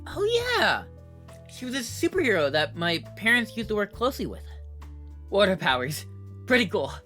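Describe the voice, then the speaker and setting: A man's voice: high voice, wildly swooping pitch, moderate pace, sharp tone, soft background music, full character voice. An actor playing an earnest, slightly awkward young male superhero in an audio drama, hesitant and sincere, sometimes stammering. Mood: excited.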